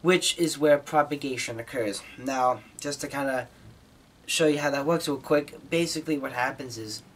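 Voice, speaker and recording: An American man giving an informal, explanatory hobbyist talk, somewhat halting, with repeated words as he explains. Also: mid-range voice. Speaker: hobbyist presenter.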